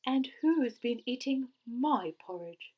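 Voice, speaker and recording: A woman's voice: fairly high pitch, lively intonation, takes it slowly, highs cut off, voice pushed up. A woman voicing a cross, scolding Mother Bear in a children's storybook, with emphatic indignant questioning.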